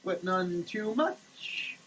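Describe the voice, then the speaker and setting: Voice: mid-range voice, animated, drawn-out words, fairly bright tone, slight background noise. A person giving a theatrical, declamatory dramatic reading of printed text. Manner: theatrical, declamatory, with muttered asides. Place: shower.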